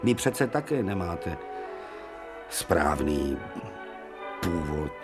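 An actor playing an irascible elderly professor and surgeon in a radio play, speaking in a commanding way. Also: male voice, deep voice, over loud music. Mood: alarmed. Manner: choleric, commanding, lively. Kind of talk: 1990 radio play acting.

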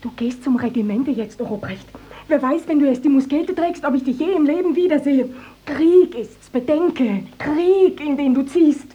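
A woman's voice: high voice, normal speed, dark tone, lo-fi sound, natural voice. A woman playing a troubled young village maiden, earnest and emotive, in a 1950s German radio play. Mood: pleading. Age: young adult. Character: pleading, troubled young village maiden, curt when pressed.

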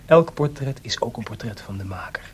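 An actor playing an impetuous, wry and defiant composer in a Dutch radio drama. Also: male voice, expressive, fairly quick, close to an everyday voice. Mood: musing, wry. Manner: wry, informal, headstrong. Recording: clearly noisy, rumbling archive radio recording.

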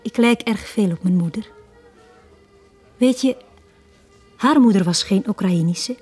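Wistful Flemish woman, mellow and confiding. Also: medium pitch, a little slow, husky, faint music behind. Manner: intimate, confiding, often dropping to near whisper. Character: wistful bar woman, war orphan confiding her past. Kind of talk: 1980s Dutch-language radio drama acting.

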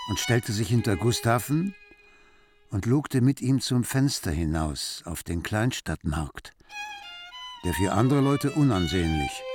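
A man giving scripted literary narration as the storyteller of a radio play. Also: on the low side. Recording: music behind the voice. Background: music.

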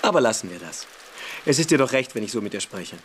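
A man plays a calm, knowing older schoolboy in a radio drama, persuasive and a little mysterious. His intonation moves widely, in an echoey room with faint noise. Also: medium pitch, close to an everyday voice. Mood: intriguing, persuasive. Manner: deliberate, persuasive, quietly superior. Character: self-assured, precocious older schoolboy, calm, probing and mysterious. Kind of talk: radio play acting.

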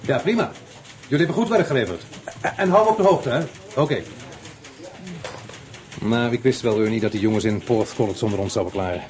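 An actor playing a brisk, businesslike middle-aged police inspector who gives clipped orders. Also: male voice, medium pitch, expressive, a little mellow, close to an everyday voice. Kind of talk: radio play acting.

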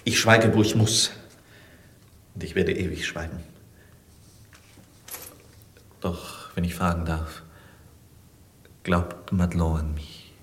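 A stoic, devoted young prisoner with a low voice. He speaks gravely and with measured defiance, in old-fashioned German radio drama style. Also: a bit bright, slight background noise. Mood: grave, guarded. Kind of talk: 1950s German radio play acting.

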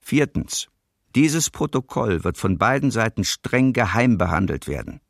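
An actor playing a detached official reading a treaty document formally in a documentary radio play. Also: male voice, fairly low pitch, big pitch swings, a little slow, vocal fry, no room sound, clean studio sound, full character voice.

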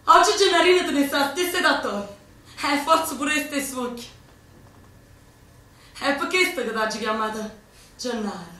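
A woman's voice: high voice, lively intonation, moderate pace, long pauses, bright, forceful tone. An actress playing a young Neapolitan woman partisan in a stage monologue, with a Neapolitan accent and an impassioned, declaiming delivery. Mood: hushed, grieving. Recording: very echoey stage from far off.

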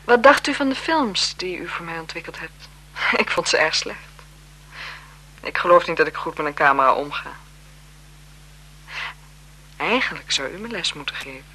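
An actress in a radio drama playing an elegant, flirtatious marquise, speaking in a coy, teasing, genteel manner with languid self-assurance. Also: female voice, low voice, expressive, normal speed, long pauses, sharp tone, slightly rough, full character voice, rough recording. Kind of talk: 1970s Dutch radio drama acting.

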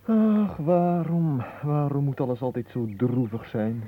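An actor playing an earnest, slow-witted lovesick suitor in a radio play, hesitant, plaintive and easily hurt. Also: male voice, fairly high pitch, very animated. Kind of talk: Dutch radio play acting. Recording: muffled, noisy old radio recording.

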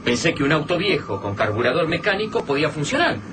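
Animated Argentine resourceful survivor. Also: male voice, fairly high pitch, fast. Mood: upbeat, practical. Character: Favalli, practical, resourceful, grave middle-class survivor friend. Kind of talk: radio drama acting. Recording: distant miking with clear background noise.

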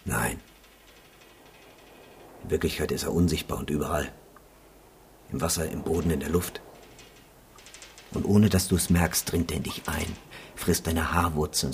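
An actor playing a weary, gruff survivor in a German radio drama, speaking in a blunt, brooding, laconic way. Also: male voice, a bass voice, fairly even intonation, normal speed, long pauses, slight background noise. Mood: grim. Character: weary, gruff man who survived many trips into a deadly zone.

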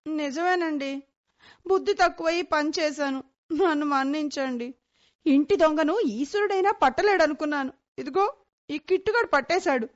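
A woman playing a meek middle-aged housewife in a radio comedy. Her high voice pleads deferentially with her husband. The recording is muffled and lo-fi. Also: normal speed, close to an everyday voice. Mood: contrite. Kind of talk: Telugu radio comedy play acting.

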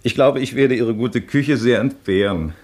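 An actor playing a courteous, scrupulous aristocratic baron in a radio play, speaking in a formal, measured and considerate way. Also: male voice, mid-range voice, a little mellow, close to an everyday voice.

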